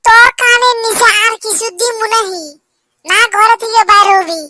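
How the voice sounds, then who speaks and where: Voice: extremely high pitch, moderate pace, bright, forceful tone, slight background noise. A performer voicing a bossy, short-tempered young village wife in a comic cartoon, scolding sharply in a rural Bangladeshi accent. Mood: threatening.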